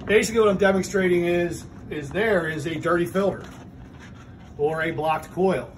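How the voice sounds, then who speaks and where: A middle-aged man gives a hands-on furnace lesson in a small indoor training room. His voice sits a little high, and he explains things step by step, often restarting a phrase. A faint hum runs under the phone recording. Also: moderate pace. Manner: plain, methodical explaining with frequent restarts. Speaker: HVAC trainer. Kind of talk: hands-on technical demonstration.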